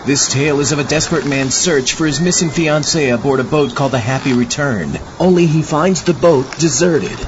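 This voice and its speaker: An actor playing an eager, gullible zombie astronaut who hosts a comic horror audio show. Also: male voice, a little high, brisk, few pauses, natural voice. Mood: showmanlike. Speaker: host. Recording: dull lo-fi audio with clear noise.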